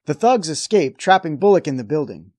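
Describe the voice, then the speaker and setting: A man's voice: a little high, very animated, a little fast, darkish tone, faint music behind. A man hosting a review show, delivering scripted comedic recap narration with a wry, sarcastic edge.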